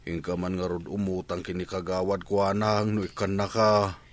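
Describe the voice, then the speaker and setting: A man's voice: deep voice, monotone, normal speed, no room sound, natural voice. An actor playing a hard-drinking husband with an injured foot in a radio drama, groaning and complaining at his wife. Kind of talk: Ilocano radio drama acting.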